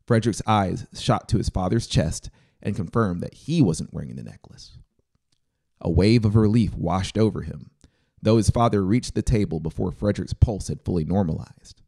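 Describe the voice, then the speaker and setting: A man in his thirties narrates dramatic fiction in a measured, expressive way, close to the mic. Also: on the low side, creaky. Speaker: audiobook narrator. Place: small brick-walled home studio. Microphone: close handheld-style mic on boom stand. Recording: music playing behind the voice. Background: music.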